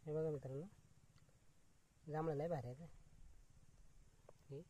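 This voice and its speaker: A man's voice: fairly high pitch, breathy edge. A young man casually talking to his viewers while picking fruit and checking fish nets by a rural river, filmed on a handheld phone. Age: young adult. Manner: chatty, repeatedly addressing friends, relaxed pauses. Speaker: fishing vlogger. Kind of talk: casual vlog talk to viewers. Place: outdoors by a rural river among trees. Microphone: phone camera held at arm's length.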